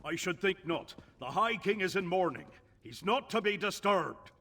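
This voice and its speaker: An actor playing an officious palace guard in a fantasy video game, stiff and curt. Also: male voice, sits high, animated, normal speed, sharp tone, heavily acted. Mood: stern.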